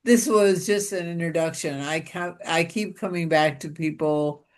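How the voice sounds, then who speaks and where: A woman from Pakistan hosting a casual interview over a video call, talking in a musing way with many restarts. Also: low-pitched. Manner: slow and musing, with many restarts and "you know". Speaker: interviewer and show host.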